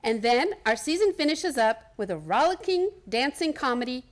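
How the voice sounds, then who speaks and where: Woman, advert announcer, high-pitched, upbeat scripted theater promo read. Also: very animated, moderate pace.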